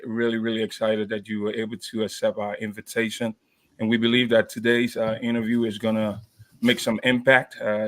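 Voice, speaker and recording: A man hosting a podcast interview, talking haltingly with many fillers. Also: lowish voice. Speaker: podcast host. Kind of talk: interview introduction.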